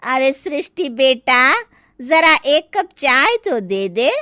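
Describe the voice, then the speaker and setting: A scheming older aunt from a moral story, acted by a woman, high-voiced and unhurried. She needles and insinuates with sly sweetness, drawing out her taunts. The sound is close and dry. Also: big pitch swings, pushed and bright, no echo, exaggerated voice. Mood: demanding. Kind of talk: dramatized Hindi moral story.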